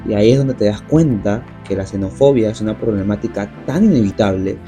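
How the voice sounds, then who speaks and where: A man giving measured, scripted documentary narration. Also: fairly low pitch, dark tone, soft background music.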